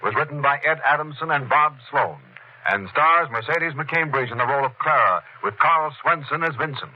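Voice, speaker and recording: A man's voice: fairly high pitch, normal speed. Give the voice of a man announcing a 1940s radio programme. His delivery is in the period announcing style. The recording is dull and noisy.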